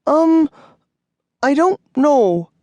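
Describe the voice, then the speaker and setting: A woman's voice: high voice, big pitch swings, very slow, a little mellow, no echo, full character voice. A woman voicing a cautious, easily frightened young boy in an audiobook story, with an anxious, exclaiming delivery. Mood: hesitant. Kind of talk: audiobook character acting.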